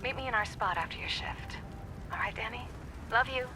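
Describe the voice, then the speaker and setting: A woman's voice: fairly high pitch, brisk, close to an everyday voice. An actress playing an affectionate woman leaving a casual, intimate message on an old tape in a video game. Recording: noisy old tape, distant sound.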